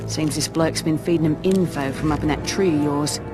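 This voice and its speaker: A man voicing a scrappy, cheeky male owl soldier who talks in brisk folksy slang, over game music. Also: a little high, pitched above the performer's own voice, lightly acted. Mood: wry warning.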